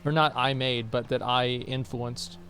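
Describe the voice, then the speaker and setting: A man's voice: medium pitch, fairly even intonation, measured pace, a bit bright, soft background music. A young man streaming a game from home, chatting casually with viewers, answering their questions in a blunt, rambling way. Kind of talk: casual chat with livestream viewers while gaming.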